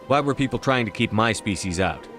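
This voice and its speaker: Casting: blunt human male game hero, lowish voice, quick and terse, inquisitive. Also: expressive, fairly bright tone, faint music behind. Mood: curious, direct. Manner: direct, terse questioning. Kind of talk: video game dialogue.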